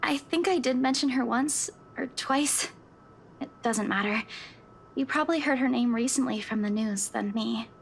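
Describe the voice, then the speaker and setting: A woman playing a shaken, exhausted young office worker in a visual novel, speaking haltingly. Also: fairly high pitch, creaky, a little hiss or hum. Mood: shaken, subdued. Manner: halting, hesitant delivery.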